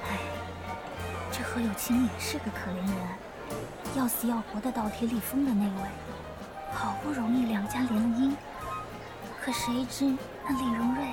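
A woman acting a gossipy party guest in an audio drama, hushed and insinuating. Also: a little high, moderate pace, pauses often, loud music behind the voice, lightly acted. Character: gossipy young female party guest, conspiratorial.

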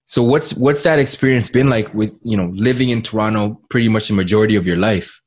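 A male podcast host with a fairly low voice leads an interview. He asks rambling questions and keeps circling back to repeat his words. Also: fairly quick.

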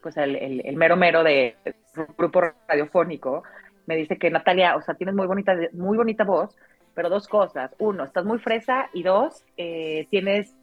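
A Mexican woman in her thirties with a deep, full-bodied voice, telling personal stories casually as an interview guest, in an expressive way. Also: moderate pace, dry recording. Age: 30s. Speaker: interview guest, radio announcer. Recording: dull lo-fi sound, music underneath.

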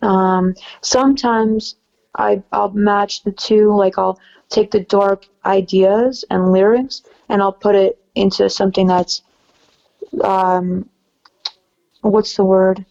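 A young woman singer-songwriter with a soft, slightly raspy low voice, chatting casually in an interview with lots of fillers. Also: slow, highs cut off. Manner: relaxed, chatty, many fillers.

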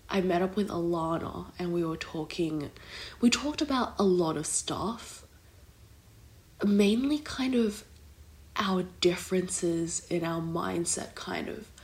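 A young woman hosting her own podcast in a casual, rambling way, filling her talk with "like" and "kind of", her voice nasal from a blocked nose. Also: low voice. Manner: rambling, heavy use of "like" and "kind of". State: recovering from illness, congested. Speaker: podcast host. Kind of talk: casual solo podcast chat.

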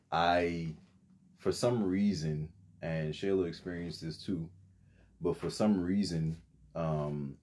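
A man's voice: low voice, dark tone, breathy edge. A young man from the Bahamas, a podcast guest telling his story in a rambling, casual way with many fillers and restarts.

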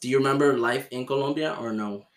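A relaxed man from Miami hosts a casual podcast chat, his voice sitting at an ordinary middle pitch. He talks in a loose, slangy way, stringing thoughts together with plenty of "like" and easy asides. The room around him adds a noticeable roomy echo. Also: quick pace. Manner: laid-back, slangy, lots of fillers. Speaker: podcast host.

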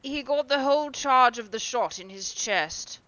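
A woman's voice: on the high side, expressive, measured pace, sharp tone, dry recording, pitched above the performer's own voice. Cynical posh lord drawling in a raised lofty voice, lady reading, kinda muffled audio. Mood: airy dismissive. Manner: airy, drawling and dismissive. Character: languid, cynical older male aristocrat.

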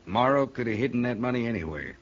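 A man's voice: mid-range voice, lively intonation, fast, natural voice. An actor playing a doubting, probing American banker in a radio Western, dry and pointed in his delivery. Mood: skeptical. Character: skeptical, probing male banker in a Western town. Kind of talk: old radio Western acting. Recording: muffled vintage radio broadcast.

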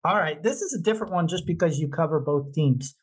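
A man's voice: on the high side, fast, slightly rough. A man with an American accent interviewing a guest casually as podcast host, informal, agreeable and encouraging.

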